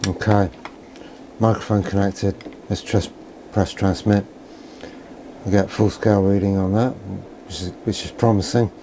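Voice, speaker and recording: A man with a fairly low, very mellow voice talks through a hands-on demonstration. He is understated and musing, often asking himself questions as he thinks aloud. There is some faint noise behind him. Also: little pitch movement, unhurried, pauses often. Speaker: electronics hobbyist presenter.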